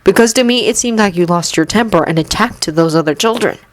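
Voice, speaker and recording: A calm young mentor who speaks softly but sternly, as a woman reader voices him. His tone is quiet, even and serious. The sound is dry and close. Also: mid-range voice, a little fast, dry recording, lightly acted. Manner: soft, stern.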